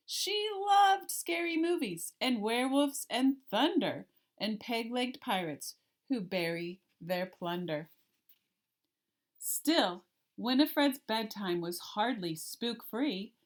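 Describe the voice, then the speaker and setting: A woman reading a children's picture book aloud like a teacher, with deliberate, expressive storytelling and emphasis on the rhymes. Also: on the high side. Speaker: elementary art teacher.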